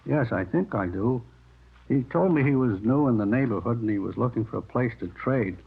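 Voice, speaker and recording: An actor playing a polite, anxious American delicatessen owner in a 1950s radio drama, hesitant and deferential, with a husky voice. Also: male voice, mid-range voice, lively intonation, moderate pace. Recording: thin, phone-like vintage radio recording.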